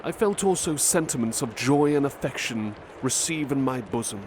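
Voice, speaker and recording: A man reading a classic novel aloud softly as a butler in an ASMR roleplay, with measured dramatic delivery. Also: mid-range voice. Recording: steady urban traffic noise behind.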